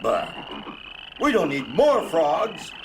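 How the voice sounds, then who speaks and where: A man playing a haughty, irritated Pharaoh, imperious and deliberate, in an audio drama. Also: a little high, huge pitch swings, heavily acted. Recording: noisy drama clip, croaking frogs.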